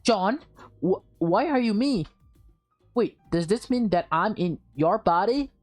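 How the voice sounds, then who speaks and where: A woman's voice: mid-range voice, animated, slow pace, darkish tone, voice pushed up. Woman acting startled, suspicious woman, game dialogue. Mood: shocked. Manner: alarmed, questioning, stammering. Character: startled, suspicious adult woman in a swapped body. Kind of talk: visual novel read aloud in character. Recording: game music behind the voice.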